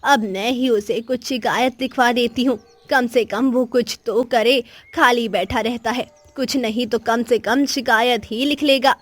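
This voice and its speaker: A woman's voice: fairly high pitch, fairly even intonation, a little slow, hardly any pauses, no echo. A woman voicing a cranky, scheming old village woman who whines and makes dramatic demands in a children's cartoon. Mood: smug. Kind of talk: children's cartoon moral story acting.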